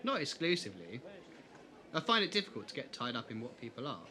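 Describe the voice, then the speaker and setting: A man's voice: mid-range voice, wildly swooping pitch, fast, bright, forceful tone. A man playing a candid, easygoing, teasing young man in a fan audio drama. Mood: frank, teasing.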